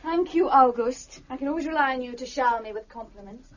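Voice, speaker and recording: An actress playing a patient, wry sister in a period radio drama, polite and formal as she gently chides. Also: sits high, fast, highs cut off, a little hiss or hum, lightly acted.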